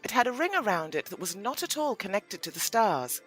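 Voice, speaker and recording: Expressive woman narrator over faint music. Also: mid-range voice, a little fast. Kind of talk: scripted storybook narration for an audio play.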